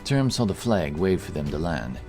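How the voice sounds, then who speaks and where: A man's voice: sits low, very animated, normal speed, a little mellow. A man reading scripted narration for a fantasy audiobook. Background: music.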